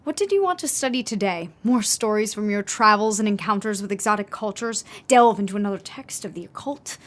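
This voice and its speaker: A woman's voice: mid-range voice, animated, brisk, a bit bright, natural voice. Earnest, intense actress as a woman sculptor. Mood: eager, fervent. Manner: earnest, insistent, eager. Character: odd, intense female sculptor hungry for occult knowledge. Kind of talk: radio drama acting.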